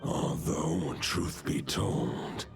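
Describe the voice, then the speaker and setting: A man's voice: rumbling low, extremely slow, gravelly, loud music behind the voice, exaggerated voice. A man voicing a solemn, ancient wolf knight in a video game, speaking in grave, formal, chivalrous phrases. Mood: solemn counsel.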